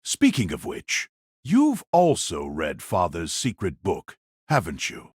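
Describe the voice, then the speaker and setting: A man performs a mocking warrior in an audio drama. The voice is deep and rough-edged, recorded dry. He talks down to his foe with measured, cool condescension. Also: wildly swooping pitch, dry recording, full character voice.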